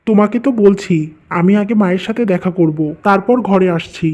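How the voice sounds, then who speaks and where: Man playing an earnest young husband, softened tone. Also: high voice, a little fast, close to an everyday voice. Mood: reluctant. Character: earnest, dutiful young husband devoted to his family.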